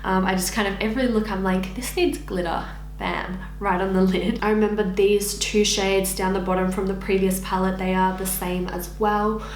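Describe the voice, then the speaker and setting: A young woman with an Australian accent and a husky, raspy voice from losing it, chatting through a casual makeup review. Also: mid-range voice, normal speed, roomy sound, slight background noise. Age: young adult. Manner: chatty, filler-heavy, animated hand gestures. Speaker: beauty vlogger. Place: indoor room against pink backdrop.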